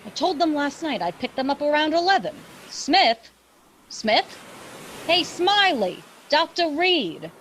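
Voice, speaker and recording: A woman's voice: high-pitched, big pitch swings, a little slow, noisy background. An actress playing a plain-spoken, practical radio woman with a folksy, take-charge manner in a radio drama. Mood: calling out.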